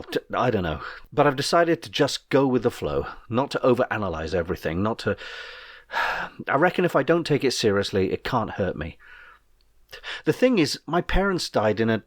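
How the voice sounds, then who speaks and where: A man playing a glum, troubled character in denial, talking in a halting, subdued manner. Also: medium pitch, big pitch swings, no room sound, studio quality.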